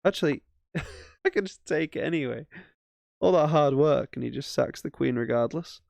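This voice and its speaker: A man's voice: on the high side, moderate pace, creaky, darkish tone, dry recording. A man casually commentating his own chess games as he plays, thinking aloud with self-mocking asides. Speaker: chess streamer.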